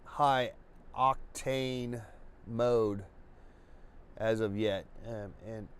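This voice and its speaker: A man hosting a live painting webcast, congested and stuffy, talking in a slow, rambling way with many restarts and fillers. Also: medium pitch, smooth. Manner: halting, many restarts and fillers, laid-back. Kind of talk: rambling live-stream chat.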